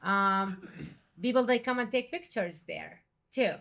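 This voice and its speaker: Lively woman restaurant owner from Jordan. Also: mid-range voice, unhurried, vocal fry. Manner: animated, quotes others with raised voice. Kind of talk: conversational interview.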